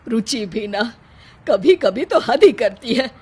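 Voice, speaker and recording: An older cartoon mother-in-law, acted by a woman, fond but quick to reprove. The voice is fairly high and husky, with a motherly way of talking. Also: lively intonation, warm tone, exaggerated voice. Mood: exasperated. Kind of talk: Hindi cartoon story dialogue.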